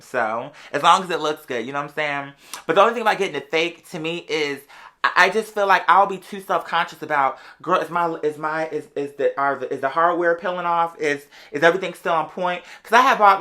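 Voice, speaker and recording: Young american vlogger dishing stories, super quick, bright voice, sassy and slangy. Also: mid-range voice. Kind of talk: casual storytelling to viewers.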